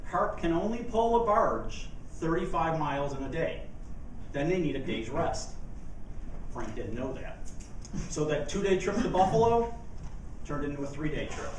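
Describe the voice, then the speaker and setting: High-pitched man telling a tale, echoey room. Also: recorded from a distance. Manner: deliberate, theatrical storyteller with dramatic pauses. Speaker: speech contest speaker. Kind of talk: humorous tall-tale speech to a live audience. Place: indoor meeting room before an audience. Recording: echoey room from afar, faint noise.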